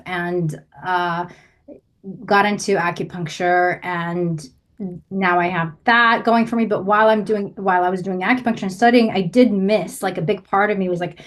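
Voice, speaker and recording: A woman's voice: lowish voice, fairly even intonation, normal speed. A woman from Russia, a writer and guest on a podcast, answering casually and thinking aloud with fillers. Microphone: remote call.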